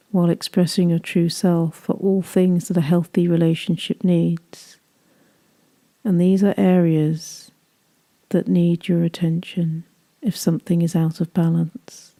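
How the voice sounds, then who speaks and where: A woman leading a guided meditation, speaking gently in soothing, unhurried phrases that trail off softly. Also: fairly low pitch, flat delivery.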